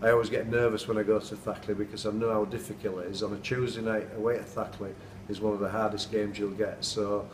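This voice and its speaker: A man's voice: fairly low pitch, level tone, quick pace, few pauses, recorded from a distance. A middle-aged man, a football manager giving a plain-spoken, earnest post-match interview indoors at night. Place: indoors at night, dim room. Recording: echoey room, off-mic, noisy.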